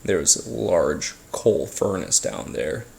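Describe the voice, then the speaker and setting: A man telling a first-person story casually, as if being interviewed, in a halting, hesitant way with pauses and repeats. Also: low-pitched, lively intonation, creaky, darkish tone, exaggerated voice. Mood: uneasy, reflective. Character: ordinary man recounting childhood brushes with a haunting.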